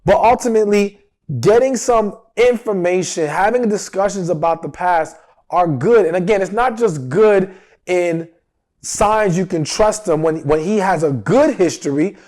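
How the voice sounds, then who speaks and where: A man's voice: sits high, unhurried, a little mellow. Emphatic man with a cold, coaching. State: recovering from a cold. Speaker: dating advice host. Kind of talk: direct-to-camera advice talk.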